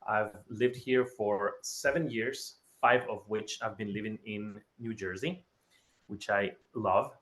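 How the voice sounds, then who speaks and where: A man's voice: medium pitch, bright, forceful tone. A man from Ecuador giving an enthusiastic webinar presentation over a video call, often repeating words for emphasis. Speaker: guest speaker.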